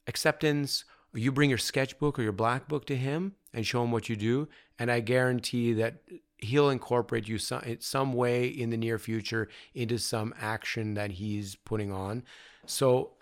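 Low-voiced man musing in conversation. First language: not Czech; grew up in North America. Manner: thoughtful, rambling with fillers. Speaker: artist guest. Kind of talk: conversational interview. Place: artist studio.

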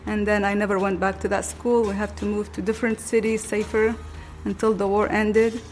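Woman from Iraq, middle-aged, steady, flat intonation, soft music. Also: medium pitch, a little fast. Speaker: interviewee. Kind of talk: personal testimony.

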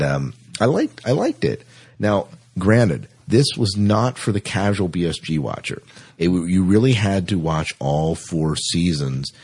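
A man's voice: low voice, darkish tone, breathy edge. A man hosting a podcast, chatting casually and enthusiastically as a science fiction fan, often repeating himself for emphasis. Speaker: podcast host. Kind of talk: casual fan discussion of sci-fi TV.

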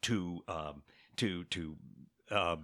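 A man hosting a podcast in casual conversation, playful and quick with sarcastic jokes. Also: low-pitched, a bit bright. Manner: joking, playful, sarcastic asides. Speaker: podcast host.

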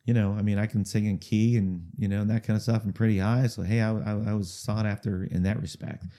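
A man chatting in an interview about his music, talking in a halting way with lots of fillers, restarts and repeated words, chuckling now and then. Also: on the low side, steady tone, mellow. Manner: chuckles through stories. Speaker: musician guest. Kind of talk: casual rambling interview storytelling.

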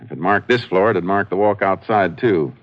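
An actor playing a calm, methodical Texas lawman in a 1950s radio crime drama, speaking in terse, deliberate, folksy phrases. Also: sits low, natural voice. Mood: businesslike, deliberate. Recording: dull, noisy old radio recording.

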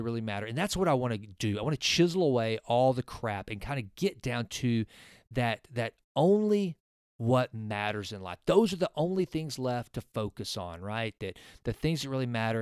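A man in his forties hosts a solo podcast and talks in an earnest, rambling way, often circling back and restarting his sentences. His voice is smooth and clear. It sits on a close mic in a dry, dead room. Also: mid-range voice, darkish tone, dry recording. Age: 40s. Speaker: podcast host. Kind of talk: casual solo podcast talk with a sponsor read.

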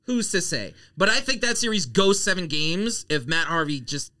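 A man's voice: high voice, normal speed. A man in a casual sports debate, talking emphatically and repeating himself, with swearing. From Queens, New York. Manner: animated, emphatic, repetitive, profane. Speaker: sports podcast co-host.

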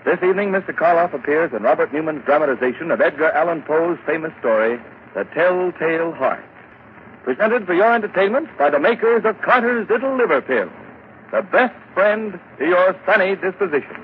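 Formal old-time radio announcer. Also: male voice, a little high, animated, brisk. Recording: dull 1940s radio broadcast, hiss.

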